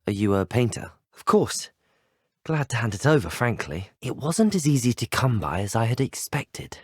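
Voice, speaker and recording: Husky, polite young student wizard. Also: male voice, mid-range voice, big pitch swings, moderate pace, darkish tone, no room sound, studio quality. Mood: polite, earnest. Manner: courteous, well-spoken, formal phrasing. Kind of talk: acted video game dialogue clips.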